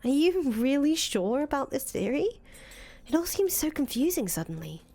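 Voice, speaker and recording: A woman playing a sceptical, worried confidante in a visual novel, questioning and expressive, with a slightly husky voice. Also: high-pitched, moderate pace. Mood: doubtful, soothing. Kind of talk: acted reading of visual novel dialogue. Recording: music under the voice.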